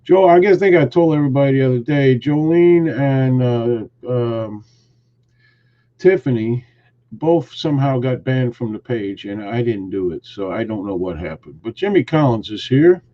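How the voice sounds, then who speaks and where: A man's voice: medium pitch, lively intonation, moderate pace, muffled recording. A man hosting a casual live stream, greeting viewers and teasing his co-hosts in a joking, rambling way. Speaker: host.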